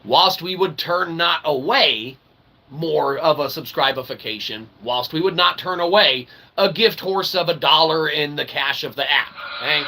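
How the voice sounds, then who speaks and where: A man giving a rambling philosophical monologue as a channel host, with a gravelly voice and wordy, mock-formal phrasing broken by folksy, emphatic check-ins. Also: on the high side, bright, forceful tone. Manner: emphatic, often checking in with "okay" and "right". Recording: clear background ambient noise.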